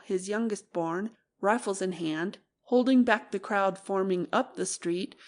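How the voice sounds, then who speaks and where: A woman reading classic fiction as a scripted audiobook narrator. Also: mid-range voice.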